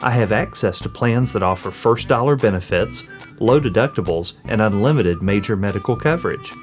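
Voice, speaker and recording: A man reading scripted ad dialogue as an insurance pitchman, plain and straightforward. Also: animated, fairly quick, soft background music.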